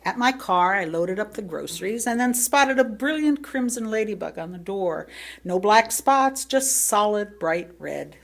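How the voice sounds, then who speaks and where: Slow, expressive American woman reading a sermon. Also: mid-range voice, gravelly. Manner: expressive, theatrical emphasis with pauses. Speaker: lay reader delivering a written sermon. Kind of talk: sermon read aloud as expressive personal storytelling.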